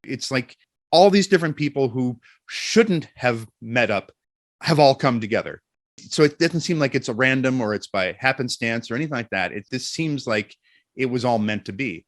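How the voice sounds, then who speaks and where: A man's voice: mid-range voice, lively intonation, moderate pace, no room sound, clean studio sound. A man talking conversationally as an interview guest, explaining his voice work thoughtfully. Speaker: voice actor and narrator, interview guest.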